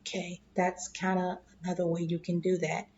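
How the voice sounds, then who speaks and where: A woman explaining investing in a slow, deliberate way, clearing her throat and losing her voice, often checking in with "okay?". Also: on the low side, completely flat delivery, darkish tone, highs cut off, slight background noise. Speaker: investing vlogger. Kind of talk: casual explanatory monologue.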